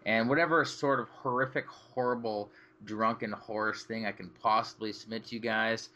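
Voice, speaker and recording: A man hosting a podcast in casual chat, making crude deadpan jokes. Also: lowish voice, normal speed. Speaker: podcast host. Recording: dull lo-fi audio with faint hiss.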